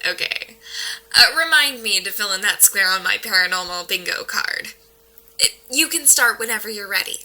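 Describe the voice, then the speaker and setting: A woman's voice: medium pitch, expressive, normal speed, bright, forceful tone, poor audio. A woman playing a skeptical, wry paranormal investigator in a naturalistic audio drama, with a dry, joking manner. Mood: businesslike, wry. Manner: dry, joking, matter-of-fact.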